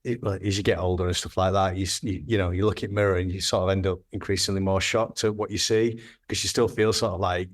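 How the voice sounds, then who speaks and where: A man's voice: sits low, little pitch movement, fast talker, few pauses. A man in his thirties, a graphic designer, talking casually in a podcast interview, rambling and restarting his sentences. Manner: rambling, hesitant, with frequent restarts.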